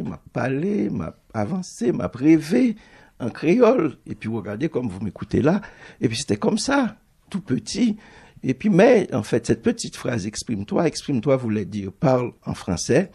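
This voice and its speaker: Creole-native male publisher, husky, mellow timbre, halting and thoughtful. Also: mid-range voice. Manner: thoughtful, halting, restarts phrases often. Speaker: book publisher. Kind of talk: reflective public talk.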